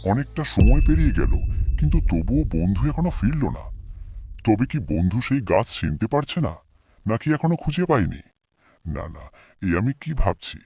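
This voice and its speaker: A man voicing an anxious, loyal friend in a fairy-tale cartoon, husky and fretting to himself. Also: fairly low pitch, sing-song, normal speed, warm tone, heavily acted. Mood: anxious, hopeful. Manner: fretful, self-reassuring, dramatic. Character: anxious, loyal male friend waiting outside a cave. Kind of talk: scripted fairy-tale monologue. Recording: dull, lo-fi sound.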